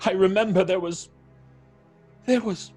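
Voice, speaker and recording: A man playing a troubled theatre actor in an audio horror drama, speaking haltingly with dramatic pauses. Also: high-pitched, fairly even intonation, a little mellow, faint music behind. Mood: grieving, haunted. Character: troubled theatre actor, haunted by a past tragedy.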